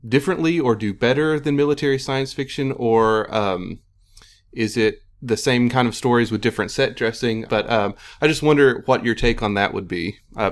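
A man hosting a discussion with authors, putting questions conversationally and hesitantly as he searches for his wording. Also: medium pitch. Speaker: podcast host interviewing authors.

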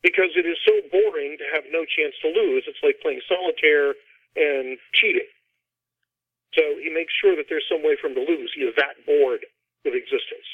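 A man's voice: on the high side, normal speed, no room sound. A middle-aged man, an author being interviewed, explains things at length in a conversational way over a band-limited, phone-like line. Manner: explanatory, talks at length about his fiction.

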